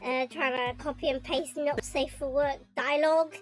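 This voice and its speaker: High-voiced young woman with a sore throat. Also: steady tone, moderate pace. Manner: rambling and hesitant, with many fillers. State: slightly sore throat, recovering from a cough. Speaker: art streamer. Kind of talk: casual stream chat. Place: bedroom at home.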